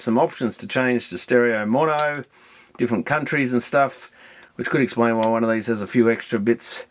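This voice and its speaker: A man giving casual, hands-on repair commentary, thinking aloud in a rambling, matter-of-fact way as he works through electronics. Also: medium pitch. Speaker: electronics repairer presenting a video.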